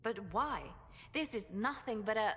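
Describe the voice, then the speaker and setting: A woman's voice: a little high, unhurried, a bit bright, in a large hall. An actress playing a cautious, wary female spymaster in a fantasy game.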